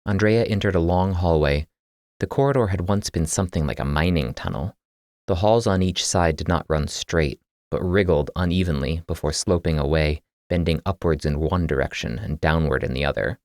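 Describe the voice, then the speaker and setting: Deep-voiced male audiobook narrator. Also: moderate pace, a little mellow, dry recording. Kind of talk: scripted fiction narration.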